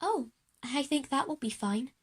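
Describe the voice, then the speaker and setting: A woman's voice: sits high, expressive, measured pace. A woman voicing a polite, earnest schoolchild in a children's audio drama. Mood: eager, friendly.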